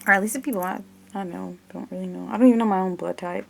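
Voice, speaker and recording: A woman with a creaky voice doing an ASMR roleplay in her normal speaking voice, casual and hesitant as she talks through each step. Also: mid-range voice, steady tone, rapid-fire, a little hiss or hum. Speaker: ASMR creator playing an examiner.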